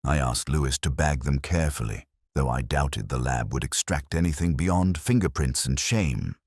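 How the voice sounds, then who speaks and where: A man voicing a reflective, wry middle-aged detective, narrating a mystery audio drama in the first person with a measured, literary delivery. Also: extremely low pitch, a little mellow, breathy edge, dry recording, clean studio sound, full character voice. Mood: brooding.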